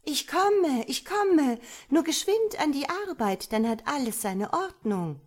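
The bustling spring sun of a storybook, as a woman reader puts it on, calling out over the land. The voice jumps high and loud, ringing and eager. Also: expressive, a little slow. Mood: rousing.

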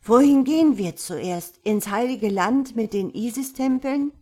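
A woman's voice: medium pitch, animated, measured pace, darkish tone. A woman voicing an innocent, curious little boy in a storybook, with a pleading, childlike way of asking. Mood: eager, excited. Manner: pleading, wide-eyed, childlike questioning. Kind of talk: storybook character voice.

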